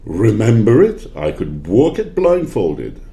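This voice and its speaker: Man acting a wistful old miser, swooping pitch. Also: huge pitch swings, slow, warm tone, full character voice. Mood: defensive. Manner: exclamatory, emotional. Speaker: amateur library staff actor. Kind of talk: audio play acting.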